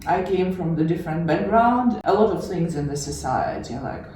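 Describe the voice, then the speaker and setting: A woman's voice: lowish voice, lively intonation, moderate pace, a little hiss or hum. A middle-aged woman from Siberia with a Russian accent, telling her life story as an interview guest, reflective and hesitant with frequent laughs. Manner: warm, reflective, many hesitations, laughs often. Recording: echoey room from far off.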